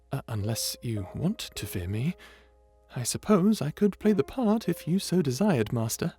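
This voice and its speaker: Dragon butler, male actor: bashful, courteous, hesitant servant for ASMR roleplay, medium-pitched, faint music underneath. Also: dark tone. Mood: bashful, apologetic. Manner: stammering, hesitant, deferential, formally polite. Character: shy polite old dragon butler, male, eager servant.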